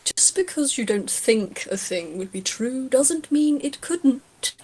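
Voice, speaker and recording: A woman playing a patient, playful man in a tabletop roleplay, speaking in a measured, musing way. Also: high voice, expressive, a little mellow, slightly rough, voice pushed up, exaggerated voice.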